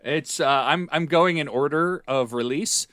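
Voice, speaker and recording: A middle-aged man co-hosting a podcast, chatting casually in a halting, rambling way. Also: on the high side, fairly bright tone, a little hiss or hum. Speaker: podcast co-host.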